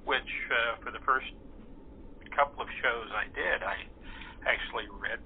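Phone sounding guy, bit of hiss, chatting casually, stops and starts, thoughtful. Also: mid-range voice. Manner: halting, reflective. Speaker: voice actor, interview guest. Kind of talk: casual chat. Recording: phone-like line with faint noise.

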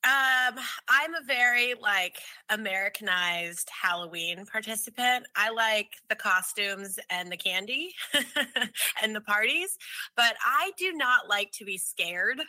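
A woman in her thirties chats casually as a co-host. Her voice is very bright and forward, recorded close and dry. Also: medium pitch, expressive, unhurried, dry recording. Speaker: podcast co-host. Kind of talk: casual chat.

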